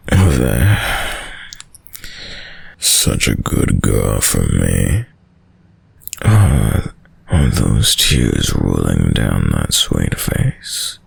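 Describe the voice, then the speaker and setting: A man playing a sadistic, dominant vampire in an intimate audio roleplay, speaking in a commanding, silkily menacing and condescendingly tender way, deep and breathy. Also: sing-song, no room sound, exaggerated voice. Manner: slow, commanding, condescendingly tender, often dropping to whispers. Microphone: close, dry studio mic.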